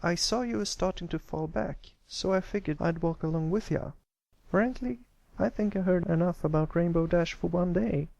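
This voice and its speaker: An eager little country filly acted by a man speaks in a raised, slightly creaky pitch. The delivery is friendly and folksy, as in a children's pony story read aloud. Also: normal speed, darkish tone, no room sound, dull audio. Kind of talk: character voice in storybook reading.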